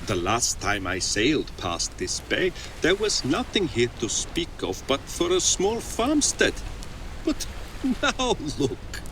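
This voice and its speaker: An actor playing a genial seafaring merchant in a video game, pitching his wares in an inviting, salesmanlike way. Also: huge pitch swings, takes it slowly, fairly bright tone, a little hiss or hum, heavily acted. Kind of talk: dramatic game cutscene acting.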